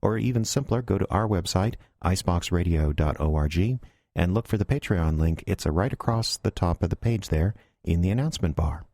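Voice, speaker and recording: A man's voice: low voice, animated, moderate pace, a little mellow, dry recording, studio quality. A man announcing a radio drama podcast. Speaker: show announcer.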